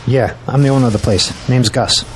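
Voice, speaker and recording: An actor playing a folksy, blunt auto shop owner with a dry, casual manner in an audio drama. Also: male voice, mid-range voice, a little fast, a little hiss or hum, lightly acted.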